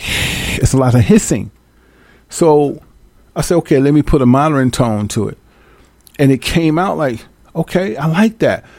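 A man's voice: mid-range voice, darkish tone, no room sound. A man hosting a radio show, chatting casually about making a song, playful and loose, mimicking drum beats and swearing freely. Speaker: radio host and music producer.

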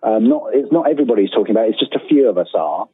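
A British man in his forties talks casually as an interview guest, with a husky edge to his voice. He rambles a little, and the call sounds narrow and phone-like, very dry. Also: lowish voice, animated, racing, dry recording. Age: 40s. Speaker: guest author. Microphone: remote call.

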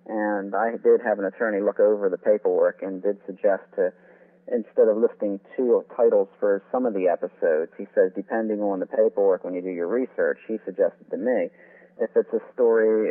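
A man with a fairly low voice speaks over a thin, phone-like line. He answers as an interview guest and expert, laying out his explanations in wordy, winding detail. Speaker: author and radio historian, interview guest.

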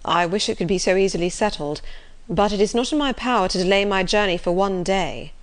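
Woman reading as a reserved, courteous gentleman colonel. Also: mid-range voice, brisk, natural voice. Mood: firm, regretful. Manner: formal, polite. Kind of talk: acted storybook dialogue.

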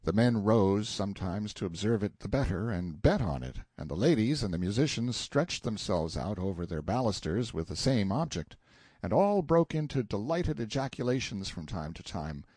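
A man with a low, mellow voice narrates classic fiction in a measured storytelling style. His intonation rises and falls widely, and the recording is close and dry. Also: sing-song, slightly rough, dry recording. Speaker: audiobook narrator. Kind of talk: scripted literary narration of a novel.

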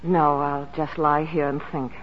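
An actress playing an ailing, anxious young concert pianist in a 1940s radio drama. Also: female voice, low-pitched, little pitch movement, measured pace. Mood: dejected. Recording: dull, noisy vintage 1940s radio broadcast.

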